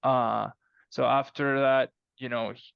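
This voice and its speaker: A man speaking as a guest author in a casual podcast interview, telling stories in an animated way and acting out exchanges. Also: medium pitch, a little slow, vocal fry, clean tone, no echo, dull audio.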